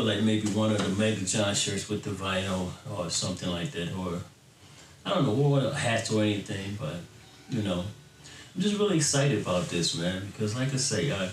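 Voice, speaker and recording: A middle-aged American man talking casually and earnestly to his fans, in an informal, rambling way. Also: fairly low pitch. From Florida, then Michigan, United States. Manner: informal, earnest, rambling, often tagging "you know". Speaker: electronic bass music artist. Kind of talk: casual reflective talk to fans. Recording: roomy, distant mic, faint background noise.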